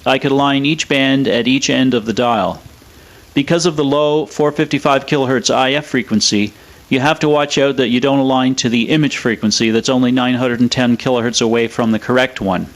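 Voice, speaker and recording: A man with a mid-pitched voice presents scripted technical narration. He speaks in a measured, matter-of-fact manner, explaining things step by step. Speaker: presenter of a vintage radio restoration video.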